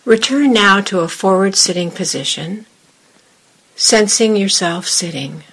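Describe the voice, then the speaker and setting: A woman meditation teacher leading a guided meditation, giving measured instructions in short phrases with long pauses. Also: medium pitch, fairly bright tone, husky, poor audio.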